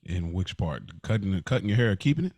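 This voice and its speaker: A man with a low, husky voice banters as a podcast co-host. His pitch swings in a very animated way. He talks in casual slang and often repeats himself. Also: fast talker, no echo.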